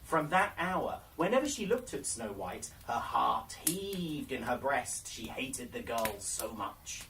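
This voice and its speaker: Animated storyteller reading a fairy tale. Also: medium pitch, slow, recorded from a distance, background noise. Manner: dramatic, theatrical telling. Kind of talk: expressive scripted storybook narration of a fairy tale.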